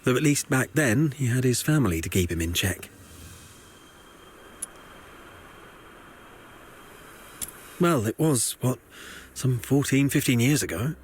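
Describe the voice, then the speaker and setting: An actor playing a nervy, talkative foot soldier in a video game, confiding and evasive in a conversational way. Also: male voice, mid-range voice, expressive, normal speed, husky. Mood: uneasy, evasive. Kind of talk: video game character dialogue.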